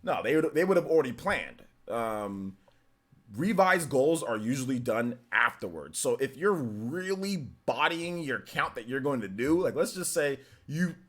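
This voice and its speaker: A young man hosting a live stream, chatting casually and answering viewer comments in an animated, incredulous way, with many restarts. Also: medium pitch, unhurried.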